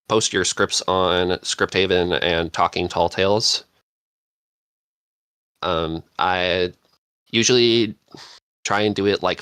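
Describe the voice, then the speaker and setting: A man giving casual advice as a podcast panelist, halting and thinking aloud as he goes. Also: low voice, fairly bright tone, clean tone, no echo. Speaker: podcast panelist, script writer.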